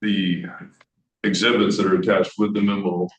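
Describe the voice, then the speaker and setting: A man's voice: low-pitched, flat delivery, moderate pace. A man on staff presenting a memo at a public board meeting, talking loosely and conversationally as he goes off the written memo. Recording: roomy, dull meeting-room audio.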